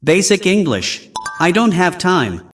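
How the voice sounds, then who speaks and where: A man hosting an English lesson, giving slow, clear, scripted prompts between practice clips. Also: sits high, sing-song, fairly bright tone, soft background music. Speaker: English teacher host.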